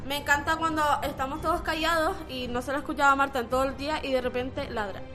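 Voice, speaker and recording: A young woman chats casually as an interview guest. Her voice is high, and music plays clearly behind her. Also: steady tone, quick pace, pushed and bright. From Las Palmas, Canary Islands, Spain. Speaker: interviewee, summer camp photographer. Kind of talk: casual chat.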